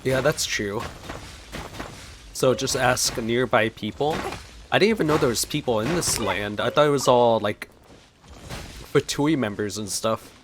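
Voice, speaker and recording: A man's voice: medium pitch, unhurried, pauses often, background noise. A young man giving casual, easygoing let's play commentary with a playful, sarcastic touch.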